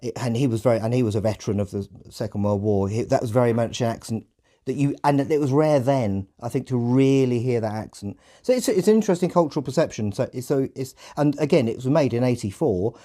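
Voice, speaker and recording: A middle-aged British man hosts a casual podcast discussion. His voice is dark and relaxed at an average pitch. He speaks reflectively and a little tentatively, feeling his way through thoughts. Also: fast. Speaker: podcast host.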